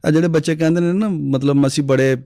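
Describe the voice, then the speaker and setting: A man's voice: a little high, quick pace, no echo, studio quality. A man telling moral stories on a talk show, acting out the lines of the figures in his tales. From Pakistan. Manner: animated storyteller who acts out the story's lines. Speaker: storyteller guest.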